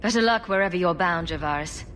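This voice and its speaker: A woman playing a calm, diplomatic adventurer heroine in a video game, courteous and direct. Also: lowish voice, quick pace, slight background noise, lightly acted. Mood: composed, reassuring. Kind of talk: video game cutscene dialogue.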